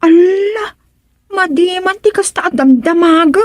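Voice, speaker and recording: An actress with an Ilocano Filipino accent playing a wary village health worker in a radio comedy drama. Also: female voice, extremely high pitch, unhurried, no room sound, clean studio sound. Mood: wary, curious.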